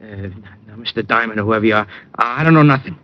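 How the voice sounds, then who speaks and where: A man's voice: on the low side, fast, lightly acted. An actor playing a frightened, evasive family man and truck driver in an old radio drama, stammering and pleading. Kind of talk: 1940s-50s radio crime drama acting. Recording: dull, lo-fi old radio recording.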